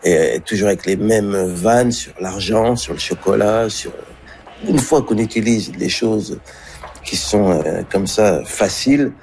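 A man giving a reflective, spontaneous interview, halting as he searches for his words. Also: fairly low pitch, gravelly, a little mellow. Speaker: interviewed guest.

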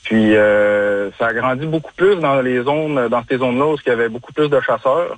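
A young man from Quebec with a Quebec French accent, talking casually as a radio guest, halting with many pauses and restarts. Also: mid-range voice, dry recording. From Chaudière-Appalaches, Quebec. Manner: halting, frequent hesitations and restarts. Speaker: guest, bloodhound handler.

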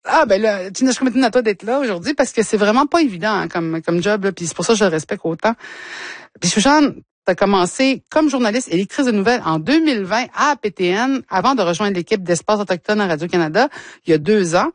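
A woman's voice: mid-range voice, lively intonation, fast talker, dry recording. Chatty Quebec French woman hosting. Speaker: interview host. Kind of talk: conversational interview.

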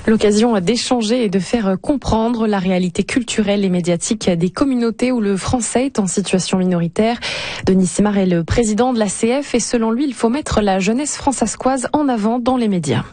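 A woman's voice: medium pitch, brisk, dark tone, no echo. A woman presenting scripted radio news.

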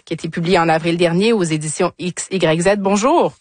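A woman hosts a radio interview, her voice on the lower side for a woman. The sound is dry and close, and she asks her questions in a measured, inquisitive way. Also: darkish tone, no room sound.

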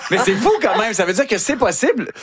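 A man's voice: high voice, expressive, fast talker, a bit bright, a little hiss or hum. A man with a Quebec French accent hosting a talk show with casual, informal banter and frequent interjections.

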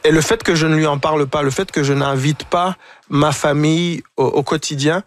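A man, a guest on a talk show, speaking reflectively and haltingly, often repeating words as he searches for them. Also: on the high side, fairly even intonation, dry recording. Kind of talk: reflective conversation.

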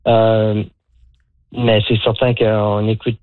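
A man's voice: lowish voice, level tone, slow pace, no room sound. Man, 60s, from Moncton, hesitant, lots of fillers, phone line, dry sound. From Moncton, Canada. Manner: hesitant, many fillers and restarts. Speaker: guest, pickleball coach. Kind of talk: radio phone interview.

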